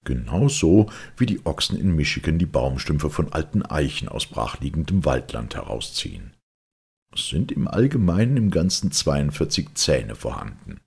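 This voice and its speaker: A man narrates a classic audiobook in an extremely low voice. It is husky and rough, with a heavy rasp. His delivery is measured and expressive, as a storyteller reading literary prose.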